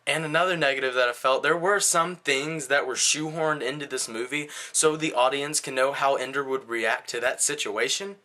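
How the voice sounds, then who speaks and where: Bright-voiced man, casual movie reviewer. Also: mid-range voice, fairly even intonation, normal speed, hardly any pauses. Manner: blunt, slangy, conversational.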